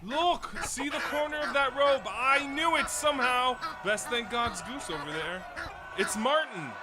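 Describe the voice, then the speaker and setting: A man's voice: very high voice, measured pace, very noisy. A man playing an insistent, fervent medieval townsman in a family audio drama, with declamatory delivery. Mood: excited.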